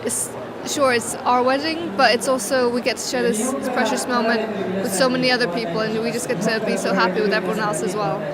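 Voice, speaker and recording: A woman, a bride, giving a casual interview in a busy venue with a loud crowd. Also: on the high side, steady tone, fairly quick. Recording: loud crowd all around.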